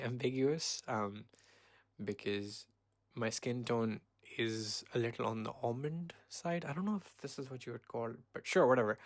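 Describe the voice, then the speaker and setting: A man in his twenties from Pakistan, hosting a casual solo podcast and rambling with many pauses and self-corrections. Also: medium pitch, dry recording. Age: 20s. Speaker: podcast host.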